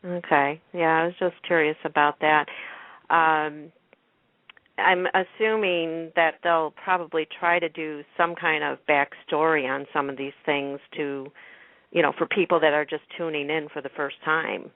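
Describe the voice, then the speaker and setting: A woman's voice: low voice, fairly even intonation, unhurried, dry recording. A woman hosting a radio talk show in a casual, chatty style. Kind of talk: casual chat about TV soaps. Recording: muffled, narrow phone-like line.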